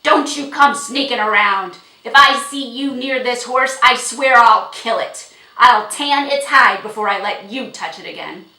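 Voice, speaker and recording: A woman's voice: a little high, unhurried, hardly any pauses, roomy sound, far from the mic, voice pushed up, rough recording. Woman putting on a snarling, bullying old man. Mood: furious, threatening. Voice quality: snarling, shouted delivery. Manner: rough archaic rustic speech. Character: snarling, bullying, hot-tempered old man, horse owner. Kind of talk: audiobook character acting.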